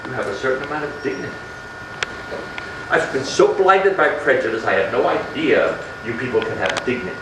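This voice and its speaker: A man's voice: medium pitch, lively intonation, a little fast, recorded from a distance, natural voice. A man acting in a stage play as a menacing, unhinged middle-class man, theatrical and sarcastic, ranting with mock politeness. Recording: echoey, noisy stage recording from afar.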